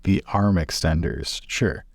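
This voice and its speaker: A man's voice: low-pitched, slow pace, creaky, breathy edge, no echo, studio quality. A man playing in a tabletop roleplaying game, asking questions and describing actions in a thoughtful, inquisitive way. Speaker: player in a roleplaying podcast.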